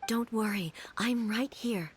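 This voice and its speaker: A woman playing a composed, regal warrior deity in a video game, speaking in a calm, formal, measured way. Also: fairly high pitch, slight background noise. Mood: soothing, assured. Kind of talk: video game character acting.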